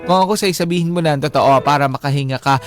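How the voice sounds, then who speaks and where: A man with a Filipino accent co-hosting a radio show, chatting casually and reading out comments in an expressive, teasing way. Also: a little high, fast talker, faint music behind.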